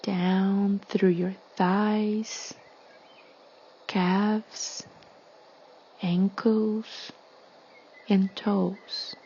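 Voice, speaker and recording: A woman leading a guided meditation in a soothing, hushed, breathy delivery with long pauses. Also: mid-range voice, level tone, highs cut off.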